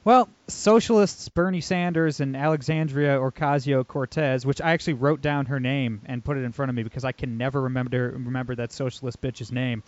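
A man's voice: medium pitch, steady tone, brisk, few pauses, dry recording, muffled recording. Casual upbeat male podcast host. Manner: upbeat, casual, filler-heavy talk.

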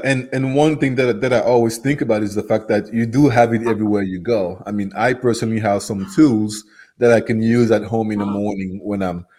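A man's voice: on the low side, a little mellow. A man in his thirties from Cameroon, a native French speaker with a Cameroonian French accent, talking as an upbeat, earnest interview guest. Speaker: guest, program facilitator. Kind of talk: casual interview talk.

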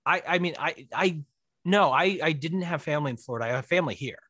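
A man's voice: on the high side, fast talker, fairly bright tone, no echo, clean studio sound. An American man in his thirties chatting casually as a guest, rambling and often cutting himself off. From Missouri, US. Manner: rambling, digressive, self-interrupting. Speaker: podcast guest, film director. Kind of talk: casual chat.

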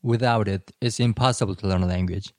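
A man whose first language is Korean, co-hosting a podcast in a casual chat, measured and reflective. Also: lowish voice, darkish tone, dry recording, studio quality. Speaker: podcast co-host.

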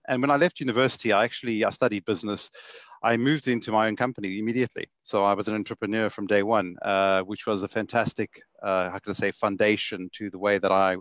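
A man's voice: lowish voice, fairly bright tone, no echo. A man with a South African accent, a guest on a video podcast, talking casually from his home study over a video call and often repeating words as he starts sentences. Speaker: guest, software sales executive.

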